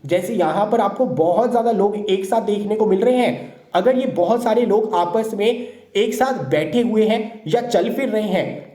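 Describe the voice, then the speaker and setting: A man explains word pairs in a steady teaching lecture, with a mellow, warm tone. He keeps posing a question and answering it at once. The sound bounces around a roomy space. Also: high voice. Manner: repeats questions then answers them. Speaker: English teacher for exam prep. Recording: roomy echo.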